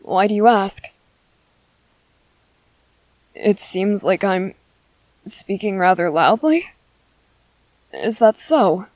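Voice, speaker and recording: Woman doing a soft-spoken clever young soldier guy, asmr roleplay, slow and polite, lots of little ums, dry close sound. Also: medium pitch, no echo, lightly acted. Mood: calm, reassuring.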